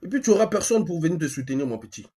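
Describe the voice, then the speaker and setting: A man from Congo with a Congolese accent and a husky voice, speaking insistently as a live-stream host, repeating phrases and asking rhetorical questions. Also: a little high, sing-song, very fast, dark tone. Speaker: online activist host. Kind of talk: live-stream monologue in colloquial speech, with a phone call.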